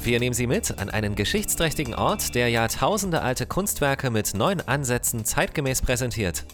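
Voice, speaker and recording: A man's voice: mid-range voice, a bit bright. A man hosting a city podcast, reading a scripted intro and chatting with guests. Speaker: podcast host. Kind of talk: scripted narration and casual chat.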